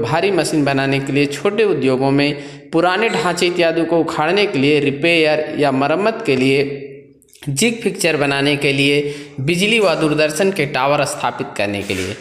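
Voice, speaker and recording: A man teaching a welding trade course, reading from a textbook page and explaining each point step by step. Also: on the high side. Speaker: trade instructor. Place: voice-over over scanned book pages. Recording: echoey room with long reverb.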